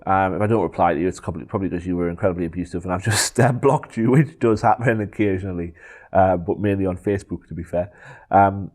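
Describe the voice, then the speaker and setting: A middle-aged man with a broad Geordie accent and a very low, deep voice talks through viewer questions to camera. He is unhurried and chatty, filling pauses with ums and drifting into asides before finding his way back. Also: a little mellow. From northeast England. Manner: unhurried, frequent ums, digressive. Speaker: photography YouTuber. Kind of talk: casual Q&A talk to camera.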